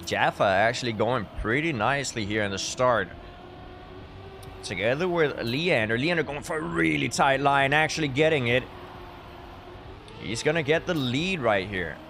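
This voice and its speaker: A man's voice: medium pitch, animated, a little slow, a bit bright, slight background noise. A man, chatting casually and commentating as a live esports caster, with dry, tongue-in-cheek humour. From Denmark. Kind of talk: casual live stream chat with game commentary. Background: music.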